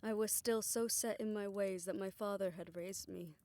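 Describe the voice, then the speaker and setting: A woman playing a tender, self-assured older woman in a romantic audio roleplay, speaking in a reflective, gently formal way. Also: mid-range voice, steady tone, moderate pace, close to an everyday voice. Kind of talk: romantic audio roleplay, intimate scripted monologue.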